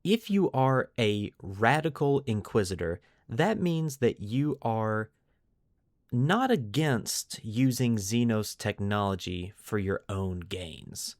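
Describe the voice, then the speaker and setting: A man's voice: lowish voice, animated, slow, dry recording. Casual man explaining lore, dry close recording. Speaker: podcast host.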